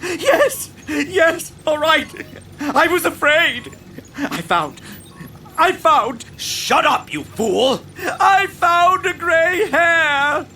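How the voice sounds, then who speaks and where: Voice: very high-pitched, animated, pushed and bright, a little hiss or hum, exaggerated voice. An actor voicing a pompous, greedy tycoon hologram in an audio drama, theatrical and full of exclamation. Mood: panicked, then angry. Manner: theatrical, exclaiming, drawn-out words. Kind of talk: scripted audio drama acting.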